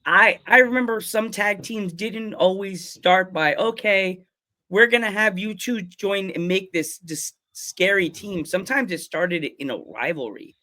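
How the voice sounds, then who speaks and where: A man's voice: high voice, unhurried, pushed and bright. A man hosting a wrestling podcast, enthusiastically welcoming and interviewing guests in a casual chat. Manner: enthusiastic, repeats "awesome". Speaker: podcast host.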